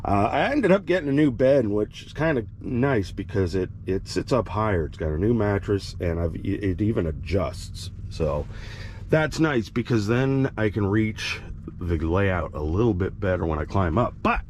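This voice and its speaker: A man's voice: on the low side, normal speed. A man casually walking through his model railroad in the sleeper bunk of his semi truck, rambling with plenty of fillers, his voice a bit crackly from recent illness. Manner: rambling, relaxed, lots of fillers and restarts. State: slightly crackly voice, recovering from illness. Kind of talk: casual walkthrough vlog.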